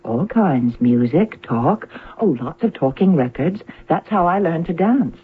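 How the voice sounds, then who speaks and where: A woman's voice: deep voice, expressive, a little slow, breathy edge, exaggerated voice. An actress playing a sweet, demure young female android in a 1970s radio drama. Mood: guileless, pleasant. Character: young female android, sweet, compliant, artlessly charming fiancee. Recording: tinny, noisy old radio recording with hum.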